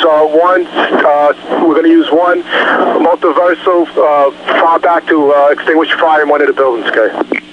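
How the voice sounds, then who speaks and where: Fire officer on muffled, noisy radio. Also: male voice, fairly high pitch. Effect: two-way radio. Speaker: fire command post officer. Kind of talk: emergency radio field report. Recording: muffled, noisy archival field radio transmission.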